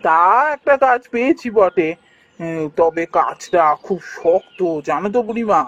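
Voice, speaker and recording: Young fairy-tale prince: high-pitched, courteous, earnest, for animation. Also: male voice, expressive, a little slow, husky, dull audio, full character voice. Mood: chatty, perplexed. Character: earnest, polite young prince posing as villager.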